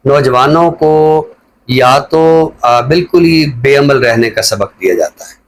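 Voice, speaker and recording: A middle-aged man with a Pakistani accent speaks as a literary commentator on a talk show. He explains his points in a measured, lecturing manner, at an ordinary male pitch.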